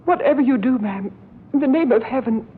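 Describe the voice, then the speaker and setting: An actress playing an ailing, frightened housemaid, pleading in a hushed, deferential way in old-time radio horror. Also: female voice, sits high, animated, a little fast. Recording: tinny band-limited old radio, hissy.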